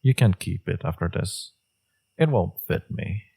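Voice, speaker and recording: A man playing a smug, seductive villain in a romantic audio roleplay, teasing and sure of himself, with a low, gravelly, husky voice. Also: animated, warm tone, exaggerated voice. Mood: smug, flirtatious. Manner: teasing, self-assured, unhurried.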